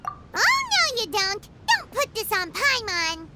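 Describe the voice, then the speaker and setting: A woman voicing a tiny, excitable, greedy fairy companion in a video game, squeaky and childlike, speaking of herself in the third person. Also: slow pace, very noisy, exaggerated voice. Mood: indignant. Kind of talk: animated game voice acting.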